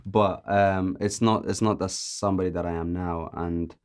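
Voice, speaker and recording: Deep-voiced young man from Azerbaijan. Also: fairly even intonation, moderate pace, clean tone. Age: 20s. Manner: laid-back, laughs often, hesitant with many ums. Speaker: podcast guest, music producer. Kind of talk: casual interview chat.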